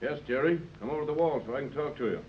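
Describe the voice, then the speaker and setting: An actor playing a steady, fatherly sea captain who reasons things out, in 1930s radio serial style. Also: mid-range voice, slight background noise, natural voice. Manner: measured, reassuring, explanatory. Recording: dull old 1930s radio recording.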